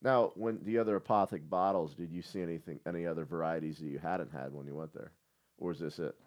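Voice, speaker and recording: A man with a very deep voice who talks quickly, joking and bantering his way through a casual chat. He sounds like a co-host among friends. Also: steady tone, a little mellow.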